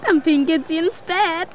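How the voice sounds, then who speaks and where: A woman's voice: very high voice, a little hiss or hum, exaggerated voice. A woman playing a brash, chatty, slightly mean schoolgirl with cheeky, slangy childlike delivery in a German children's radio play.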